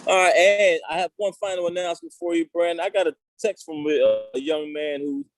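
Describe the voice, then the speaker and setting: A middle-aged man hosting a casual talk show over a video call, relaxed and chatty with lots of fillers. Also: a little high, brisk. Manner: relaxed, conversational, many fillers. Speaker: show host.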